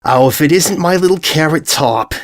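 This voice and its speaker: A man's voice: a little high, expressive, moderate pace, dry recording, clean studio sound, voice pushed up, lightly acted. A man voicing a cheerful, teasing middle-aged pony mother who is doting, blunt and effusive in a storybook reading. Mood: gushing. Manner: effusive, coaxing, uses endearments.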